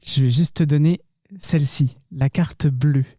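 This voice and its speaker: A man hosting a podcast interview, asking questions in a relaxed, unhurried way. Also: on the high side, dry recording, studio quality. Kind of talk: casual interview.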